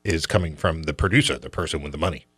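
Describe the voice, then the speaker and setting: A man's voice: very deep voice, quick pace, a bit bright, breathy edge, no echo, clean studio sound. A man hosting a radio show in a casual, colloquial, opinionated and joking conversational style.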